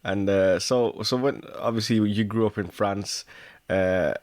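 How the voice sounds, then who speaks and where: A man hosting a travel podcast, asking questions in a relaxed way and chiming in with short replies. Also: fairly low pitch, a little slow, no echo. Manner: relaxed, brief encouraging replies. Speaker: podcast host. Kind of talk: casual interview hosting.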